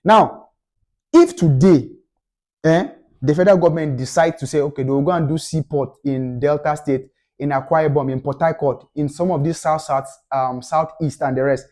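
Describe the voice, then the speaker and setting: A man's voice: on the high side, normal speed, a little mellow. A young Nigerian man with a Nigerian accent, delivering a passionate opinion rant to camera and repeating his points for emphasis. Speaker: commentator.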